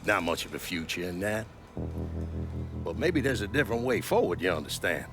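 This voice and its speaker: Gregarious older crime boss for cinematic game, profane salesman patter, very lively intonation, music underneath. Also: sits low, fairly quick. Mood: measured, coaxing. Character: gregarious, ruthless, foul-mouthed older male mob boss. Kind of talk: cinematic video game dialogue. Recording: music behind the voice.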